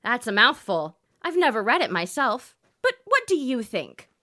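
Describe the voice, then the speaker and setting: A woman's voice: a little high, sing-song, moderate pace, bright, forceful tone. A woman playing a perky, naive female radio announcer in a comedy sketch, chirpy and guileless. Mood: chatty.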